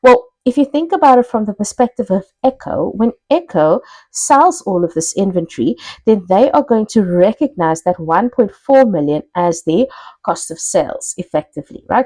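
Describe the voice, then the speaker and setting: A woman's voice: medium pitch, animated, normal speed, mellow, dry recording, rough recording. A woman lecturer explaining a worked example step by step in a conversational way, often checking in with the listener. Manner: conversational teaching, checks in with "okay" and "right". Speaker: accounting lecturer. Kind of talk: explanatory tutorial, working through an example step by step.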